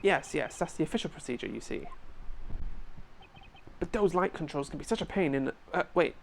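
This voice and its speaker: A woman's voice: sits low, lively intonation, brisk, a little mellow, slight background noise. A woman voicing a boastful, self-important male security guard in a fan-dubbed game.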